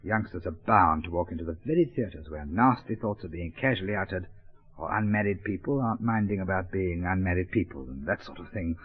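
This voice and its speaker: On a muffled 1930s gramophone record, a prudish English gentleman played by a male comedian addresses a public meeting. His upper-class speech is pompous, hesitant and gravely earnest, pitched lower in a comic monologue. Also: a little fast. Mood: scandalised.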